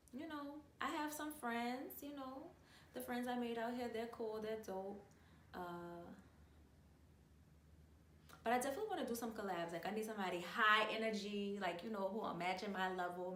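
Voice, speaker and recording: A woman's voice: mid-range voice, slight background noise. Chatty young woman from New Orleans. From New Orleans, USA. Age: 20s. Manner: chatty, animated, uses lots of slang and filler. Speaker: vlogger. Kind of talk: casual storytime chat. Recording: echoey room, mic at a distance.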